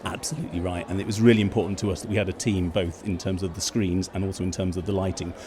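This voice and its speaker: A man's voice: low voice, little pitch movement, quick pace. A man giving fluent, explanatory answers as an interview guest. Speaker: theatre company chief executive, interview guest. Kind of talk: backstage interview. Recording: busy event with crowd background.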